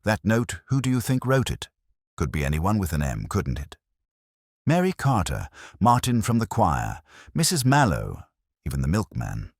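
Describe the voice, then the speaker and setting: A man's voice: low voice, huge pitch swings, normal speed, breathy edge, no room sound, clean studio sound, voice pushed up, exaggerated voice. A man voicing an earnest, eager, bewildered police sergeant in a story, asking plain and naive questions. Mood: puzzled.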